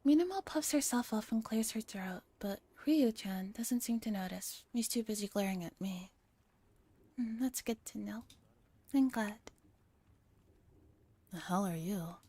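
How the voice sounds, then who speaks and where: A soft-spoken woman streaming a gentle read-aloud in an ASMR style, sometimes slipping into breathy near-whispers. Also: a little high, a little slow. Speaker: streamer reading a visual novel aloud.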